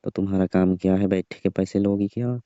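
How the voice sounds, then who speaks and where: Comic phone skit: brash, dismissive young male boss, played by a man, very deep voice, dry muffled recording. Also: steady tone, a little fast, dark tone, clear, resonant tone, dry recording, close to an everyday voice. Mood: teasing, insistent. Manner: pushy, dismissive, teasing. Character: brash young rich male employer, smug and suggestive. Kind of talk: staged comic phone call skit, colloquial dialogue.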